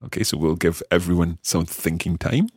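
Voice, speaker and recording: A man's voice: deep voice, a little mellow, breathy edge, dry recording, clean studio sound. A man co-hosting a language lesson as the learner, carefully trying out phrases. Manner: careful, tries phrases out slowly. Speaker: learner co-host.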